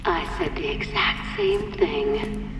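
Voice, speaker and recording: A woman's voice: very high voice, huge pitch swings, slow, gravelly, heavily acted. Game villain: ruthless, imperious female scientist, swinging intonation, long echo over music. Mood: intense. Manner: imperious, commanding. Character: cold, obsessive, ruthless woman scientist in a lab. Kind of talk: dramatic video game voice acting. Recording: echoing hall, music underneath.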